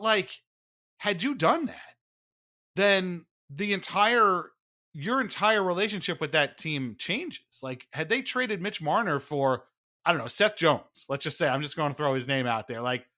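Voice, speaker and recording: A man co-hosting a podcast, explaining things in casual sports talk with animated, wry asides. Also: sits high, moderate pace. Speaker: podcast co-host.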